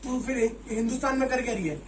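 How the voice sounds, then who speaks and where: A man's voice: very high voice, quick pace. Man on a noisy, distant recording. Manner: teasing, jokey. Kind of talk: casual family banter.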